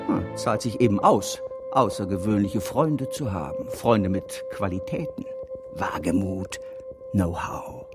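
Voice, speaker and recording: Low-voiced boastful executive. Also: male voice, a little slow, over loud music. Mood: boastful, businesslike. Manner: self-important, clipped slogan-like enumerations. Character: boastful male campaign executive, sporty, driven, pain-plagued. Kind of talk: contemporary radio drama monologue.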